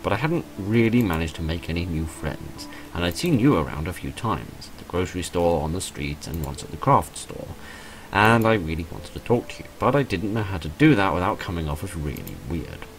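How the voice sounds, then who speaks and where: A man's voice: sits low, very animated, brisk, slight background noise, low-quality recording. A man voicing a shy, apologetic young woman in a visual novel, with a hesitant, sheepish manner. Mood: sheepish, guilty. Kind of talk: visual novel character reading.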